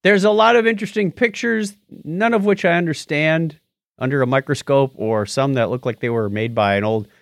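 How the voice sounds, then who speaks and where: A man's voice: a little high, brisk, creaky, dry recording, clean studio sound. A man co-hosting a podcast, chatting casually and jokily about news, often chuckling as he goes. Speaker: podcast co-host. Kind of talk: casual tech news chat.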